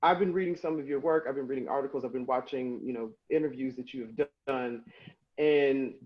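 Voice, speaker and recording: A man's voice: mid-range voice, fairly quick, dull audio. A man hosting a podcast, setting up a question for his guest in a rambling way with fillers. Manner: warm, rambling setup with laughs and fillers. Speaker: podcast host. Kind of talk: interview introduction.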